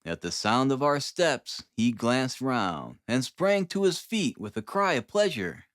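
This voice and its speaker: A man's voice: mid-range voice, sing-song, measured pace, fairly bright tone, dry recording, clean studio sound. A man reading scripted literary narration as an audiobook narrator.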